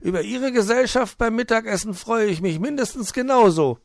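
A man voicing a jovial, hospitable male fox in a storybook, speaking with cheerful, polite warmth. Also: high-pitched, fairly quick, creaky, dry recording, clean studio sound, pitched above the performer's own voice. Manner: warm, cheerful, politely formal. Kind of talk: storybook character voice.

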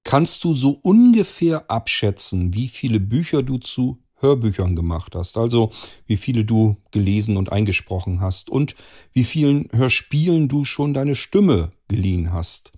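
A man's voice: medium pitch, expressive, moderate pace, a little mellow. A man asking wordy, prepared interview questions in an articulate, informal way.

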